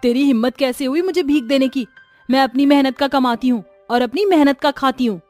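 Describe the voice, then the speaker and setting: A woman's voice: sits high, fairly quick, a little mellow, soft background music, close to an everyday voice. A woman voicing a proud, vengeful witch with a menacing, indignant manner in a cartoon horror story. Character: vengeful, proud female witch who was a poor cobbler.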